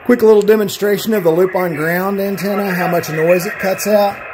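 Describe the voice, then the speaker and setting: A man's voice: high voice, fairly even intonation, moderate pace, loud music behind the voice. A man with a Texan accent giving a casual, conversational technical talk to a radio club, with easy fillers and restarts. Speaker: club presenter on radio antennas. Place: club meeting room.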